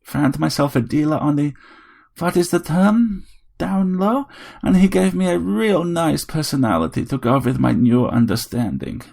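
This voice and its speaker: A man voicing a small, eager robot who believes he is a vampire, speaking in a put-on Transylvanian accent with a grand, drawn-out manner. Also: fairly high pitch, big pitch swings, creaky, darkish tone, voice pushed up, heavily acted.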